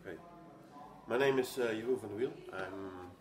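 Low-voiced male coach. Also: moderate pace, background noise. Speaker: softball coach. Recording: echoey room.

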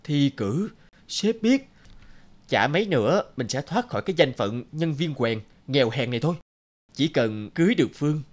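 A man's voice: fairly high pitch, big pitch swings, moderate pace, a little hiss or hum. A man voicing a scheming, lazy young office worker in a cartoon, with a whiny, theatrical manner. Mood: smug plotting. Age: young adult. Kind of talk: cartoon voice acting.

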